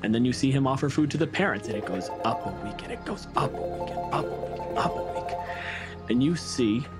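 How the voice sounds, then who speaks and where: A man telling a dramatic story in a tabletop game, with deliberate, drawn-out phrases and weighty pauses. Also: medium pitch, expressive, over loud music.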